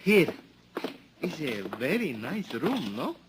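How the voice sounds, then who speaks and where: Un actor interpreta a un botones mexicano alegre y buscavidas, con acento mexicano y labia de vendedor, en un radioteatro de los años cincuenta. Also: male voice, a little high, very animated, slow pace. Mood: wheedling. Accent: Mexican Spanish. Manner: eager, wheedling salesman patter. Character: cheerful hustling Mexican hotel bellboy. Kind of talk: 1950s radio drama acting. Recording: hissy old radio recording.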